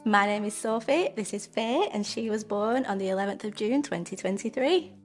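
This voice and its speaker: A young woman speaking softly and tenderly about a museum visit with her baby. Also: medium pitch, expressive, normal speed, soft background music. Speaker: interviewee, mother.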